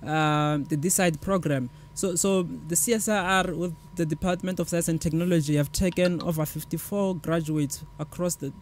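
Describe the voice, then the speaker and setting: A man's voice: a little high, steady tone, normal speed, few pauses, a little hiss or hum. A man co-hosting a tech show, talking casually with many restarts and fillers. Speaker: co-host.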